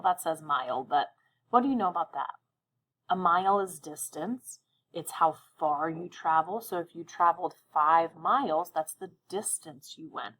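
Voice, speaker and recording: A woman's voice: fairly low pitch, a bit bright, rough recording. Woman teacher leading a children's read-aloud. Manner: encouraging, addresses children directly with check-in questions. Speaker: elementary reading teacher.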